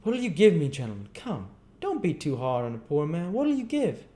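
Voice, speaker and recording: A man putting on a high-pitched, pleading, haggling tradesman. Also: wildly swooping pitch, normal speed, mellow. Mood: wheedling, pleading. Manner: wheedling, imploring. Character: pleading, haggling poor tradesman, wheedling and desperate. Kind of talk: storybook character voice.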